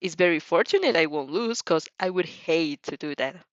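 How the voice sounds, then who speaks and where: A woman playing a mellow, polite young student hero with dry confidence in an audio story. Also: on the low side, expressive, moderate pace, no room sound, lo-fi sound, close to an everyday voice. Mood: confident.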